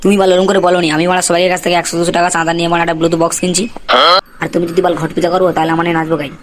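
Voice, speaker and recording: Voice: mid-range voice, little pitch movement, a little hiss or hum, lightly acted. A performer voicing an indignant foul-mouthed club member. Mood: pleading. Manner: rapid, crude slang, complaining. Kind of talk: comic cartoon voice acting.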